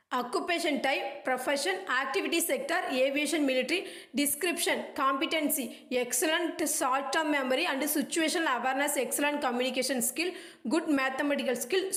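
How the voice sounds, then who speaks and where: A woman's voice: high voice, a bit bright. A woman with an Indian accent reading study notes aloud in a choppy way, dropping small connecting words. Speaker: presenter of an educational video. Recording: echoey room.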